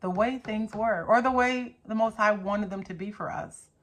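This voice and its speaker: A woman's voice: mid-range voice, moderate pace. A middle-aged woman hosting a faith teaching channel, talking casually to camera with an earnest, emphatic manner that leans toward preaching. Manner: earnest, emphatic, with rhetorical questions and repetition.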